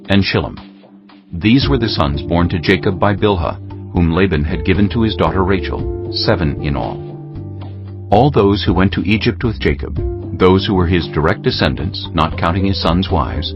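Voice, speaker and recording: Deep, expressive male narrator over music. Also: dull audio. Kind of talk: scripted dramatized Bible narration. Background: clear music.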